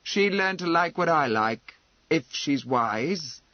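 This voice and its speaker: A man's voice: on the high side, animated, takes it slowly, dry recording, highs cut off. A man voicing a cold, unbending aristocratic lord with a curt, matter-of-fact manner in a novel reading. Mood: stern, commanding.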